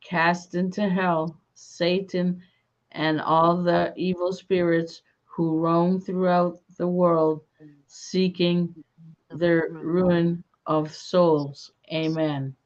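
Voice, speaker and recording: A woman hosting a live paranormal investigation stream, talking in a calm, soothing way. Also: on the low side. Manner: slow, calm, soothing delivery. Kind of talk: live-stream ghost hunt chat. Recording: dull lo-fi stream audio.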